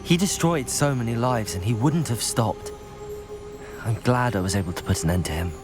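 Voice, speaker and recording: An actor playing an earnest, resolute young student wizard in a fantasy game, polite and composed. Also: male voice, medium pitch, moderate pace, music in the background, close to an everyday voice. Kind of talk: scripted fantasy game dialogue.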